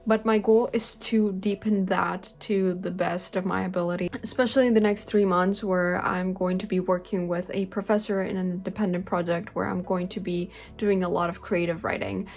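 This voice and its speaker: A woman's voice: on the low side, steady tone, normal speed, hardly any pauses, darkish tone. A young woman whose native language is Spanish, talking earnestly to the camera from her bedroom, with frequent "like" and "um" fillers. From Spanish-speaking country. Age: young adult. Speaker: language-learning vlogger. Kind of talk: casual talking-head vlog. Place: bedroom, indoors. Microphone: webcam-style camera close in front.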